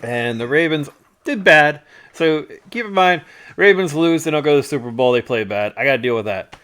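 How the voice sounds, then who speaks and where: A man's voice: on the high side, a little fast. A man hosting a live stream, telling stories casually and humorously, often chuckling and acting out what people said. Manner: chuckles often, animated retelling with acted-out quotes. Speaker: storytelling show host. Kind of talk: casual humorous storytelling over photos.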